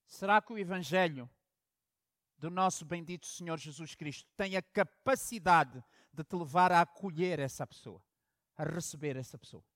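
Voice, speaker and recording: A man from Angola who is a pastor, preaching a sermon in the European manner, telling stories and swinging from loud emphasis to hushed asides. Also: on the high side, moderate pace, no echo, clean studio sound.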